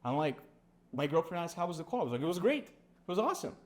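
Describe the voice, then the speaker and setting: A man's voice: a little high, quick pace. A young man from Serbia with a Serbian accent, telling sales stories in a casual business chat, peppering his talk with "bro" and "like". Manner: animated storytelling, says "bro" and "like" a lot. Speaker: sales agency co-founder.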